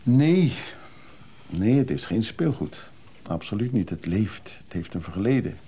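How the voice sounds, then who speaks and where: An actor playing a restless, evasive recovering drinker in a radio play, hesitant and confiding. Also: male voice, on the low side, sing-song, measured pace, warm tone, breathy edge, full character voice. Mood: earnest. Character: restless recovering drinker, evasive man who craves freedom. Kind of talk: naturalistic Dutch radio drama acting.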